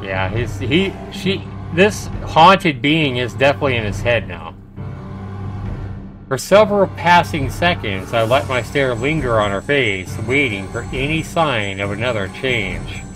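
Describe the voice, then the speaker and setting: A male livestreamer reads game narration in an average-pitched voice at a slow pace. His delivery is dramatic, with colloquial asides. Faint music plays behind him. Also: big pitch swings. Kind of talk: game narration read aloud with casual commentary.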